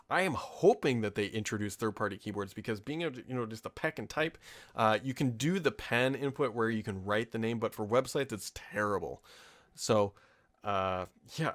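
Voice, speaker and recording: A man's voice: fairly low pitch, a bit bright. An American man in his thirties hosting a tech podcast, talking off the cuff in an informal, opinionated, rambling way. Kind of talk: casual off-the-cuff commentary answering listener questions.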